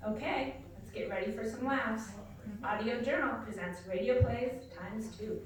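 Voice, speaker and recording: A woman's voice: a little high, moderate pace. A woman addressing an audience in a hall, reading out introductory announcements for a live event. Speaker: organization director giving event introduction. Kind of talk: public announcement read to an audience. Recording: very echoey hall, distant mic, faint noise.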